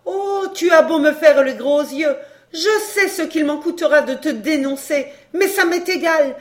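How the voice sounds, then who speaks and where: A woman voicing a fiery, scorned mistress in a dramatised audiobook, loud, biting and indignant. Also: high voice, unhurried, voice pushed up. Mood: enraged.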